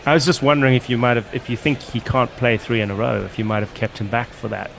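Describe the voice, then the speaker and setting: Gravelly man with dry cricket asides. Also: mid-range voice, steady tone, quick pace, soft background music. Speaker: cricket commentator. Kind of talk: casual commentary chat.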